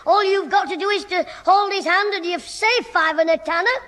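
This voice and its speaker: A man's voice: very high-pitched, moderate pace. A man playing a cheeky, mischievous Northern English schoolboy who wisecracks his way through a 1960s radio sitcom. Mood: grumbling. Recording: old hissy, reverberant 1960s radio recording.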